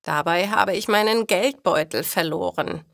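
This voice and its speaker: A woman voicing a delighted, grateful old woman in a storybook, with an eager, drawn-out delivery. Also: lowish voice, vocal fry, a bit bright, no room sound, clean studio sound.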